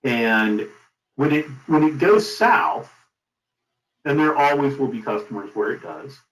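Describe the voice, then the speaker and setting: A middle-aged man being interviewed as a company founder, giving advice in an emphatic, deliberate conversational way. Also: mid-range voice, expressive, fairly bright tone. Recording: roomy, echoing sound.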